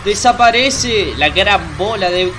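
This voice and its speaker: A man's voice: high-pitched, expressive, takes it slowly, background noise. A young man with an Argentine accent and a congested nose, chatting casually and in a rambling way as he hosts a reaction video. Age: young adult. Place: small bedroom at home.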